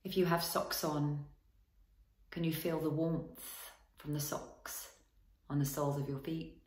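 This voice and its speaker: A woman leading a guided body scan as a relaxation teacher, giving gentle instructions in short phrases with long pauses. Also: deep voice, little pitch movement, echoey room.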